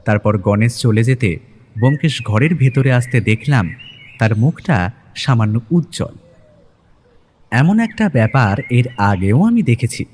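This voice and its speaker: A man's voice: mid-range voice, lively intonation, measured pace, pauses often, a little mellow, slight background noise. A man narrating a detective story in the first person, in a dramatic scripted audio-story style. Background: background music.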